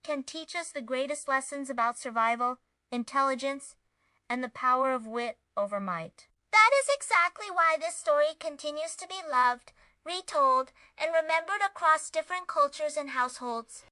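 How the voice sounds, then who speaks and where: A woman's voice: high-pitched, animated, moderate pace, pushed and bright, no room sound. A woman reading a scripted children's story review as narrator, with an earnest, emphatic and dramatic delivery.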